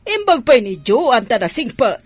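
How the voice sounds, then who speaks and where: A woman's voice: on the high side, big pitch swings, moderate pace, faint music behind. A woman playing a scheming, gambling grandmother in a radio drama, talking in a sly, gossipy way. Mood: gossiping.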